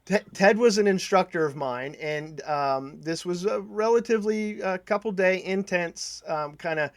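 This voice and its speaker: Halting, slightly higher-voiced male podcast host. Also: no room sound. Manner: halting, searching for words, repeats phrases. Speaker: podcast host interviewing a guest. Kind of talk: casual conversational interview.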